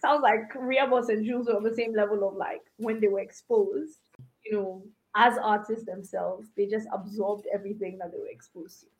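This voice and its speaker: A woman's voice: medium pitch, steady tone, moderate pace, rough recording. A woman from Ghana with an American accent, a musician chatting casually and hesitantly as a podcast co-host. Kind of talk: casual conversation.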